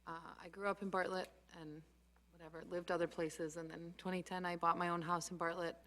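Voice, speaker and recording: A woman's voice: lowish voice, little pitch movement, brisk, bright, forceful tone. A woman, new to committees, introducing herself at a meeting in a tentative, plain-spoken way. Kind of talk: informal self-introduction at a meeting.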